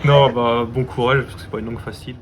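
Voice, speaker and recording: A man's voice: medium pitch, steady tone, fast. A man from the Paris suburbs answering interview questions, joking around with hesitant fillers. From Paris suburbs, France. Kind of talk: casual street interview answers. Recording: clear background noise.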